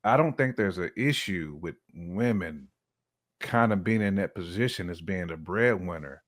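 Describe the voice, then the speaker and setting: A man's voice: low voice, expressive, normal speed. A man giving his opinions in a casual, conversational discussion, repeating words for emphasis as he makes his points.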